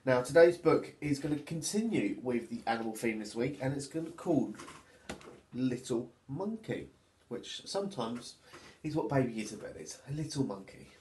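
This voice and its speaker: A man, a teacher reading a storybook to children in an expressive, deliberate way. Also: mid-range voice, slight background noise. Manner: expressive, deliberate, addressing young listeners. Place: small plain room at home, indoors. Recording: echoey small room.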